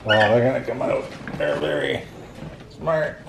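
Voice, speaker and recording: A woman's voice: rumbling low, sing-song, measured pace, vocal fry. Friendly woman giving animal-handling directions. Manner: friendly, instructing. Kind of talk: casual chat. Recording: echoey room with noise.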